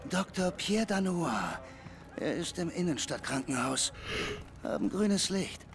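An actor playing a special-forces soldier in a military shooter game, talking in terse military phrases. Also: male voice, high voice, expressive, measured pace, slight background noise. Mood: matter-of-fact. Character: male special-forces soldier briefing and questioning his boss. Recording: loud game soundtrack underneath.

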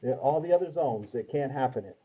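A man in his fifties speaking haltingly as a city official explaining things in a meeting, often trailing off. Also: medium pitch, lively intonation, mellow, slightly rough. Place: small office with hard ceiling, indoors. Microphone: video call. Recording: dull lo-fi video call audio.

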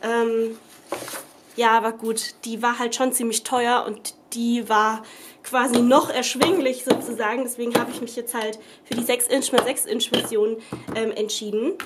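A woman's voice: on the high side, steady tone, normal speed, noisy background. Chatty woman with a cold, crafting. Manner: chatty, rambling, many fillers and self-corrections. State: has a cold. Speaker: craft vlogger. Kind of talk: casual crafting tutorial chat. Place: at a craft table at home. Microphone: camera above the table, speaker off screen.

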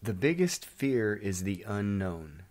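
A man with a fairly low voice hosts a daily devotional. He speaks as someone reading to listeners and leading them in prayer. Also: sing-song, slow, a little mellow. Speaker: devotional host.

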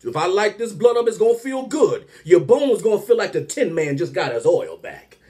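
A man in his thirties doing a comedic rant to camera, animated and exasperated, firing rhetorical questions from a small room at home. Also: high-pitched, moderate pace.

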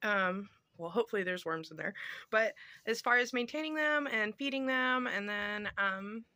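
A woman's voice: mid-range voice, normal speed, pushed and bright, no room sound. A woman in her thirties talking casually through a how-to vlog, recorded outdoors on a handheld phone. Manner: chatty, informal. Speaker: homestead vlogger. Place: outdoors on a home porch. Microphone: phone at arm's length or handheld.

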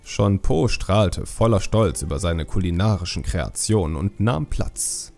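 A man narrating a fantasy audiobook in a measured, expressive storytelling manner. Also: sits low, gravelly, faint music behind.

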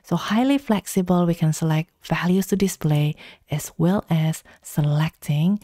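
A woman giving calm, step-by-step instructions in a screen-recorded software tutorial. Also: low-pitched, no echo, clean studio sound. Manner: calm step-by-step instructions, slow deliberate pacing.

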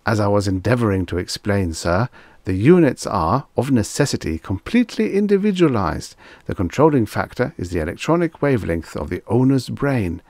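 A man's voice: lowish voice, sing-song, moderate pace, a little mellow, no echo. A man voicing a fussy, deferential salesman in an audiobook story, anxious to please and formally wordy. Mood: frightened, pleading. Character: eager, anxious, fussy salesman clerk, deferential and long-winded.

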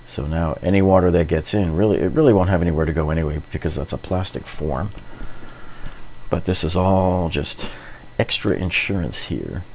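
A man's voice: deep voice, moderate pace, a little mellow, a little hiss or hum. A middle-aged man narrating a hands-on renovation tutorial in an informal, explanatory way, often repeating himself. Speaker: DIY renovation host.

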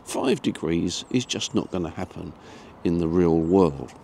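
Older British man, creaky and expressive. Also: sits low, measured pace, slight background noise. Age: 70s. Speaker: amateur radio presenter. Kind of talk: informal explanatory lesson to camera. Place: small home studio room.

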